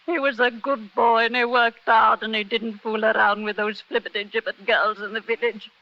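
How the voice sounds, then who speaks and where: An actress playing an anxious old village seamstress in a 1940s radio drama, humble and pleading. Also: female voice, on the high side, level tone, normal speed, close to an everyday voice. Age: older. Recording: dull lo-fi 1940s radio recording.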